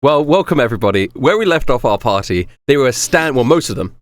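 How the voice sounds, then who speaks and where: A man hosting a tabletop game as game master, chatting casually with a giggly, animated manner. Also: medium pitch, a little fast.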